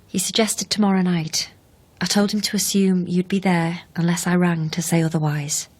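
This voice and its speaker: An actress playing a concerned, steady young woman who supports her partner in a naturalistic radio drama, with a brisk, questioning manner. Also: fairly low pitch, natural voice. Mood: calm, coaxing. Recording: background noise behind radio drama dialogue.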